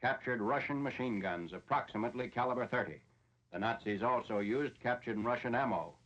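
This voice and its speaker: A man's voice: mid-range voice, brisk, fairly bright tone. An American man narrating a 1940s military newsreel in a formal, matter-of-fact way. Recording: dull, lo-fi 1940s film soundtrack.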